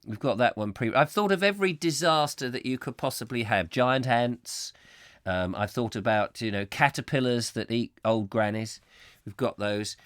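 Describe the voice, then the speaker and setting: A slightly hoarse man hosting a comic live chat show, rambling whimsically and mocking himself as he reads out viewer comments. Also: medium pitch, moderate pace, fairly bright tone, no room sound, studio quality. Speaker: host.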